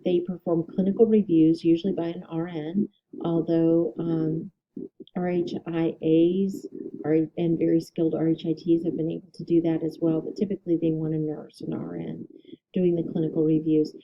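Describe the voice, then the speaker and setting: A woman instructor giving a recorded lecture, her voice a little hoarse, explaining steadily with ums and self-corrections. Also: fairly low pitch, little pitch movement, moderate pace, muffled recording. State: slightly hoarse, clearing throat.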